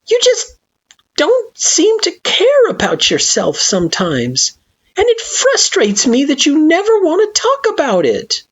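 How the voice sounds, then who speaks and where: Young child, anxious, earnest, stammering, very high voice, lively swings. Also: female voice, measured pace, heavily acted. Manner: pleading, stammering. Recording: dull lo-fi recording.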